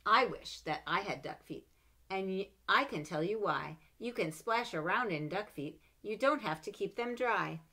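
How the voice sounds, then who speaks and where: A middle-aged woman reading a children's picture book aloud at home, expressive and animated, with playful asides between pages, recorded on a webcam. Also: medium pitch, moderate pace. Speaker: storybook reader. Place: home room with bookshelves. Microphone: webcam at desk.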